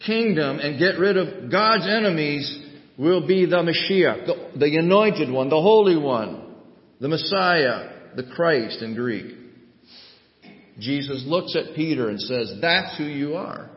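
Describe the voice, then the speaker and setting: A man's voice: a little high, animated, slow pace. Preacher guy in a church, emphatic, lots of rhetorical questions, dull muffled sound. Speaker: pastor. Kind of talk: sermon. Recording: echoey muffled hall recording.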